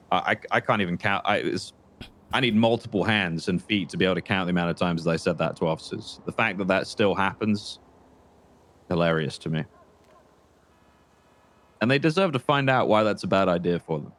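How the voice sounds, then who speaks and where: A man playing a bitter, menacing former trooper turned criminal in an improvised roleplay, speaking deliberately and haltingly with condescension. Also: sits low, fairly bright tone. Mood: contemptuous. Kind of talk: improvised crime roleplay phone conversation.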